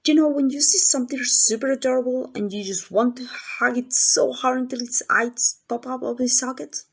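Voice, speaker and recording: Casting: actress as obsessively loving female yandere captor, higher-pitched voice, gushing and coaxing, ASMR roleplay. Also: steady tone, normal speed, vocal fry, husky, heavily acted. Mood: gushing affection. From Mexico. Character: doting, obsessive yandere woman kidnapper comforting captive.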